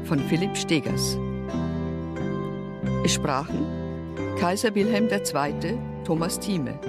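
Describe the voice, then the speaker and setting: An announcer formally reading the closing credits of a radio play. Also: medium pitch. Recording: loud guitar music behind.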